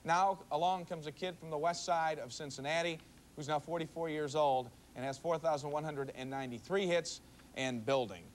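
Higher-voiced man anchoring live news. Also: moderate pace, a little hiss or hum. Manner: conversational anchor ad-libbing. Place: TV news studio.

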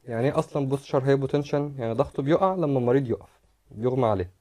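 A man's voice: mid-range voice, racing, warm tone. A man with an Egyptian Arabic accent giving a medical lecture over slides, explaining step by step and repeating words as he demonstrates.

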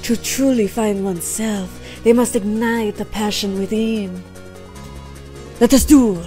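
A woman's voice: medium pitch, steady tone, a little slow, warm tone, soft background music, close to an everyday voice. A woman voicing a flamboyant male dancer boss in a game, with a put-on Mexican accent and a theatrical, declaiming delivery. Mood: grandiose.

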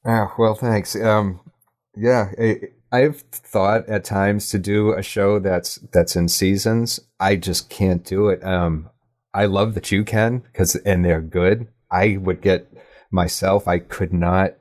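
Low-voiced middle-aged man chatting. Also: steady tone, takes it slowly, darkish tone. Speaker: podcast guest, audio drama writer. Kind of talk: casual chat.

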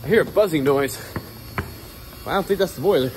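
A man's voice: on the high side, a little fast, loud music behind the voice, low-quality recording. A man in his thirties talking casually off the cuff as he works as a technician, partly muttering to himself, recorded on a handheld phone. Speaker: HVAC technician filming his workday. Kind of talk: casual off-the-cuff vlog talk. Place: on the job, often in cramped boiler basements. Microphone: handheld phone at arm's length.

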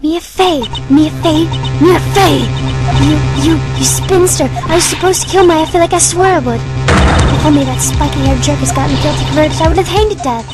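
A woman's voice: high voice, normal speed, loud music behind the voice, close to an everyday voice. A woman voicing a vicious, indignant young villainess in a video game fan dub, with seething, stammering outbursts. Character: vicious, spiteful young villainess on the witness stand.

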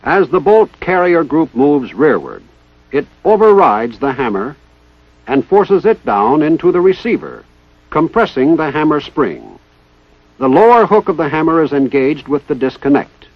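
Lively-pitched man narrating a vintage training film. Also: dry recording. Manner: formal, precise, measured enunciation. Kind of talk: 1960s instructional film narration, scripted. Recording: dull 1960s training film soundtrack.

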